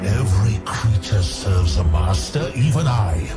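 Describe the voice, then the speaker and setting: Towering demon overlord, cold menace, deep voice, commanding swells, loud music behind, fantasy audio drama. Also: male voice, lively intonation. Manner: slow, grand, commanding. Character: huge domineering demon lord, cold and menacing. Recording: loud music bed over voice.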